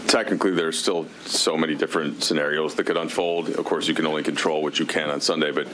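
A man's voice: very deep voice, flat delivery, fast talker, a bit bright, a little hiss or hum. A man, a reporter, asking rambling questions at a press conference. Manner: rambling, self-correcting questions.

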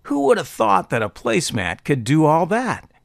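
A middle-aged man reads commercial copy with an upbeat, polished delivery. His voice sits a little high and moves around with plenty of animation. The sound is close and dry. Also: wildly swooping pitch, moderate pace, vocal fry, no room sound. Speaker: voice-over artist. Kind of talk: scripted commercial narration.

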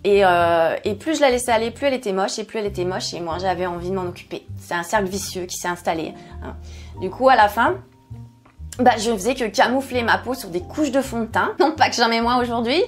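Woman in her 40s vlogging from her kitchen, chatty, laughs at herself, lots of euh, soft music underneath. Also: medium pitch, fairly quick. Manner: chatty, self-mocking, many fillers. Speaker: skincare YouTuber. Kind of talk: candid personal vlog. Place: home kitchen set, indoors. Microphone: fixed camera, seated at medium distance.

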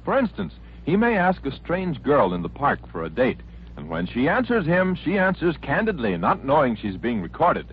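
A man's voice: on the high side, huge pitch swings, normal speed, a little hiss or hum. An American man reading a scripted promo as a 1940s radio announcer. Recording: dull vintage radio recording.

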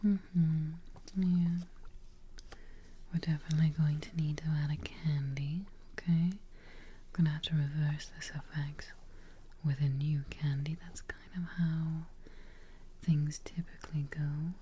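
A woman in her twenties whispering softly and reassuringly in an ASMR roleplay as a kindly witch, close to the mic. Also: deep voice, steady tone, a little hiss or hum. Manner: hushed, slow, reassuring. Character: kindly witch receptionist brewing a healing potion.

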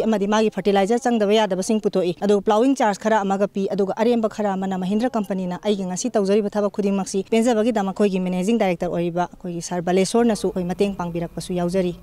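A woman's voice: medium pitch, dark tone. A woman agriculture official, answering press questions outdoors in a farm field into handheld mics. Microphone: handheld press mics held close.

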